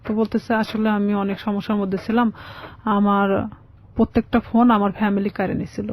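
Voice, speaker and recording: A woman's voice: medium pitch, little pitch movement, fast, muffled recording. A young woman with a Bangladeshi Bengali accent telling a personal life story as a guest in a radio interview. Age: young adult.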